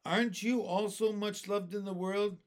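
A man's voice: high-pitched, fairly even intonation, normal speed, pitched above the performer's own voice, natural voice. A man voicing a polite, curious, kindly young boy with an earnest, wondering manner in a storybook reading.

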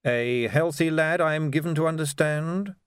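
A man voicing an unruffled, drily amused aristocratic city ruler in an audiobook, measured, formal and understated. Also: fairly high pitch, clean tone, no room sound, studio quality, voice pushed up, natural voice. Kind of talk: dramatic audiobook character dialogue.

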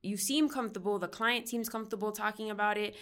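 A woman's voice: mid-range voice, fast talker, a bit bright. A woman from Miami who works as a counseling professor, explaining her research in a casual way with frequent "um" and "like". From Miami, United States. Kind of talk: conversational podcast interview.

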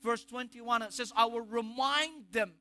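A man's voice: extremely high pitch, a little slow, bright, forceful tone, a little hiss or hum. A man with an Egyptian accent preaching a sermon, emphatic, asking rhetorical questions and restarting phrases. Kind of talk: sermon on scripture.

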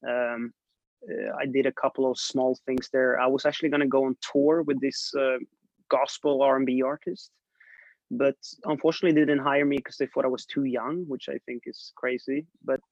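A man's voice: mid-range voice, moderate pace, no room sound. A young man from Sweden, a songwriter guest, chatting casually on a video call and laughing often. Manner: relaxed, laughs often, trailing fillers. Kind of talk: casual interview chat. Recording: dull lo-fi dry sound.

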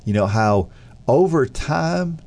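A man co-hosting a faith podcast, sounding congested and nasal, speaking in a thoughtful, relaxed way. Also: medium pitch, takes it slowly, creaky. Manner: thoughtful, relaxed, frequent affirmations. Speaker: podcast co-host.

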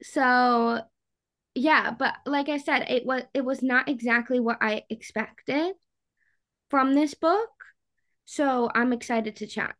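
A woman with a fairly high voice talks as a podcast co-host. Her delivery is chatty and informal, full of casual slang, in the loose style of a book discussion between co-hosts. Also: slow pace, a bit bright.

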